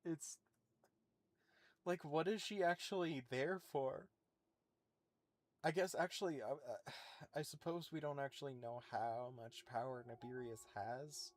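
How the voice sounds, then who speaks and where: A game streamer giving informal, halting reaction commentary, thinking aloud. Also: mid-range voice, dry recording. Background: soft game music.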